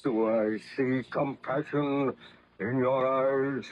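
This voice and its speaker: A man's voice: mid-range voice, fairly even intonation, slow, highs cut off, close to an everyday voice. A man playing an anguished, vengeful monster in solemn, theatrical 1930s radio style. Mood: pleading, wretched. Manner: solemn, grandiose, theatrical declamation. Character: anguished, menacing male monster, hideous, articulate, vengeful.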